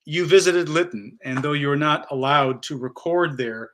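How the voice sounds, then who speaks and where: A man moderating an interview, putting wordy, carefully phrased questions in a formal, measured style. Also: fairly high pitch, fairly bright tone.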